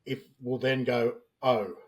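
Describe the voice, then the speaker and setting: A man's voice: medium pitch, fairly even intonation, takes it slowly, a little mellow. An Australian man in his fifties, a business analyst speaking as a podcast guest and explaining plainly with everyday examples. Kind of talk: podcast interview.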